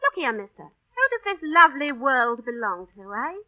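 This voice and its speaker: An actress in an old radio drama playing a brash, defiant young street thief with cheeky, ungrammatical working-class talk. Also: female voice, sits high, very animated, normal speed. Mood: defiant, impassioned. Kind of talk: 1930s-40s radio drama acting. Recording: tinny, band-limited vintage radio broadcast.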